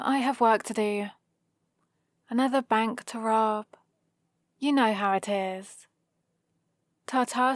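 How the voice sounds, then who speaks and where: A British woman playing a proud, scheming supervillain with a soft spot, in a teasing, intimate ASMR roleplay. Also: a little high, no echo, clean studio sound. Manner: teasing, sardonic, casually conversational. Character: proud scheming female supervillain, gruffly caring for a rescued hero.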